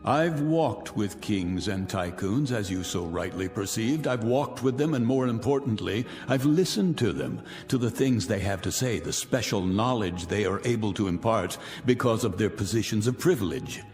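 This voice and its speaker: An actor playing an arrogant, vengeful millionaire in a radio drama, speaking in a theatrical, pompous, taunting and articulate way. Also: male voice, on the low side, expressive, normal speed, hardly any pauses, a little mellow, natural voice. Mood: smug, deliberate. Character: vengeful, arrogant millionaire man holding old enemies captive. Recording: reverberant radio drama with music underneath.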